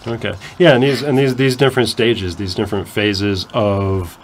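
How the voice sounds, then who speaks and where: A man's voice: fairly low pitch, flat delivery, normal speed, slight background noise. A man who hosts a campus radio talk show, explaining at length and often restarting his sentences. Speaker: faculty radio host.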